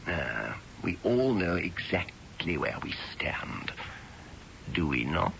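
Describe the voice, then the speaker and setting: An actor playing a shrewd, overbearing army colonel in a radio drama, with gruff, pointed authority. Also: male voice, low-pitched, big pitch swings, slow pace, a bit bright, exaggerated voice. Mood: menacing. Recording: dull old radio recording, faint noise.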